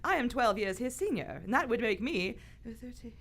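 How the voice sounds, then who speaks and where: Actress as defiant, wry noblewoman adventurer in her thirties for radio theater; theatrical, highish voice with big melodic swings. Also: lively intonation, moderate pace, fairly bright tone. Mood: wry, concerned. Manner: sardonic, haughty, theatrical. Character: witty, defiant aristocratic adventuress in her thirties, fond of drink. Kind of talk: live radio theater acting.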